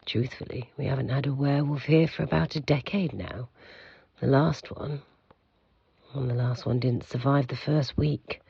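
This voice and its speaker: An actor playing the stern, secretive head of a mysterious institute in an audio drama, speaking formally and with control in a gravelly voice. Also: male voice, mid-range voice, steady tone, moderate pace, no echo, muffled recording. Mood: brooding, secretive.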